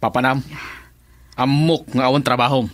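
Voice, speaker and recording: A man's voice: medium pitch, steady tone, normal speed, soft background music, close to an everyday voice. A man acting a cruel, jealous young lover in a radio drama, taunting and domineering, with an Ilocano Filipino accent. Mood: suspicious, taunting.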